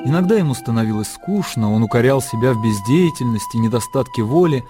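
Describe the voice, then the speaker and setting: A man narrating a literary radio play in a measured storytelling manner. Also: mid-range voice, animated. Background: music and birdsong.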